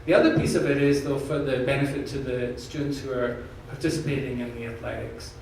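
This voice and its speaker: A man's voice: mid-range voice, fairly even intonation, a little fast, darkish tone. A man with a slight Scottish accent answering questions at an open forum, earnest and jokey, often repeating words as he restarts. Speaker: university president candidate. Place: large echoey room from far off. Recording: echoey room, distant mic, background noise.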